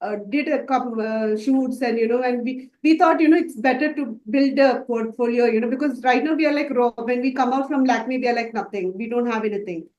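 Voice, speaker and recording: A woman's voice: a little high, fairly quick, hardly any pauses, a little mellow, echoey room, muffled recording. A woman from Dubai answering interview questions about her makeup work, hesitant and full of fillers and restarts. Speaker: makeup artist student.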